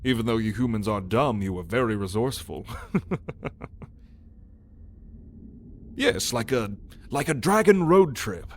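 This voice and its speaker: A man's voice: mid-range voice, lively intonation, a little hiss or hum, natural voice. A man voicing a lazy, playful old male dragon in an ASMR roleplay, with a teasing, sardonic drawl. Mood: playful, eager. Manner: unhurried, teasing, chuckling drawl. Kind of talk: ASMR roleplay addressed to the listener.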